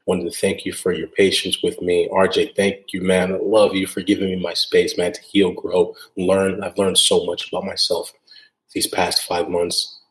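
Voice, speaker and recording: A man speaks in a deep, level voice with little rise and fall, hosting his own podcast. He talks earnestly, circling back over his phrases and tagging them with "right?". The room around him sounds echoey. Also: monotone, moderate pace. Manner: earnest, repeats phrases for emphasis; frequent tag "right?". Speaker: podcast host. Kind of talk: solo podcast monologue.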